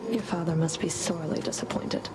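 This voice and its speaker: A woman's voice: fairly low pitch, fairly quick, in a whisper, close to an everyday voice. A woman voicing a cold, sardonic fury. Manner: cool, sardonic and curt. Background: loud game music.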